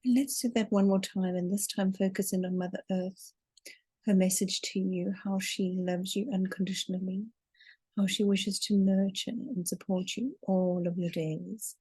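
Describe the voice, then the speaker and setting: Woman, guided meditation leader, soft-edged husky voice, soothing, deliberate, close dry recording. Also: medium pitch, flat delivery, dry recording. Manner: soothing, deliberate, with long drawn-out counting. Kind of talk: slow guided meditation narration.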